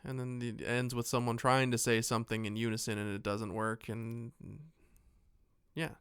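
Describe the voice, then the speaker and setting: A man's voice: medium pitch, level tone, normal speed, a bit bright, smooth, no echo, studio quality. A man co-hosting a podcast, chatting casually about films in a rambling, jokey way with some swearing. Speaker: podcast co-host. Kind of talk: casual film discussion chat.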